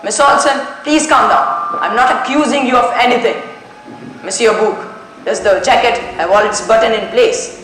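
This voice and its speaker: A teenage student acting a composed, methodical detective in a stage play, speaking formally and deliberately. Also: medium pitch, background noise, close to an everyday voice. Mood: composed, probing. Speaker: student actor in a school play. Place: school stage, indoors. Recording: very echoey school stage, music behind.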